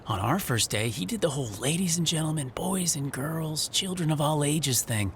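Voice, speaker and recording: Chatty young american wrestling rookie in a game, excitable, pretty high voice, really animated. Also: male voice, moderate pace, slight background noise. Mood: boastful, eager. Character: eager, talkative young male rookie wrestler, former mascot. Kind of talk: game cutscene dialogue.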